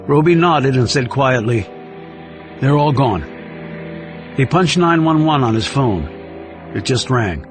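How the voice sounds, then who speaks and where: A man's voice: mid-range voice, expressive, normal speed. A man reading a thriller audiobook as narrator, in a scripted storytelling style. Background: music under the reading.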